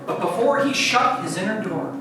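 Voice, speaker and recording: A woman's voice: medium pitch, expressive, moderate pace, background noise. A woman narrating a comic dramatic reading in a theatrical way with wry asides, heard from a distance in an echoey room. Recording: echoey room, distant mic, music behind.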